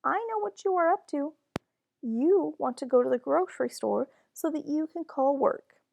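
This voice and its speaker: A woman's voice: high-pitched, expressive, moderate pace, gravelly, a little mellow, slight background noise, voice pushed up. A woman voicing a firm, concerned mother in a children's storybook. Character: concerned, firm mother scolding her husband.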